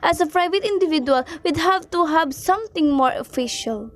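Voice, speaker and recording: A woman playing a cool, by-the-book telephone company official in an amateur radio play, measured and bureaucratic. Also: extremely high pitch, lively intonation. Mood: officious, doubtful. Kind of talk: amateur radio drama acting.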